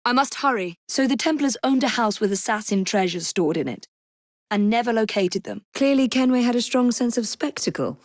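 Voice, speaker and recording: An actress playing a poised, determined young assassin in a Victorian London video game, with an English accent and a crisp, politely commanding delivery. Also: female voice, medium pitch, dry recording, studio quality, lightly acted. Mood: brisk, purposeful. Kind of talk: scripted game voice acting.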